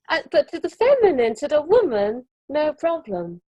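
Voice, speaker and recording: A European woman in an earnest, expressive conversation about spirituality, often laughing as she talks, on a video call. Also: high voice, no echo, dull audio. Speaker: summit guest.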